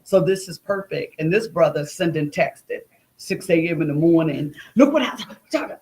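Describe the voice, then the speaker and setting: A woman's voice: low voice, lively intonation, normal speed, a little mellow. Woman telling stories conversationally. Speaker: guest, playwright. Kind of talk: conversational storytelling.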